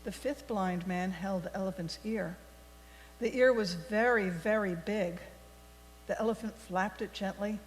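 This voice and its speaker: Unhurried woman storyteller in an echoey hall. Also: medium pitch. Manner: deliberate, expressive storybook reading. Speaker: worship leader telling a story for all ages. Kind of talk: read-aloud storytelling in a church service. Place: large indoor congregation space. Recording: echoey hall with mains hum.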